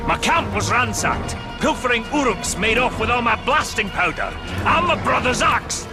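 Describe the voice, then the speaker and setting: A man voicing a gruff, blustering and crude dwarf hunter in a video game cutscene. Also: extremely high pitch, big pitch swings, normal speed, over music. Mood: indignant, urgent. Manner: emphatic, blustering, grumbling.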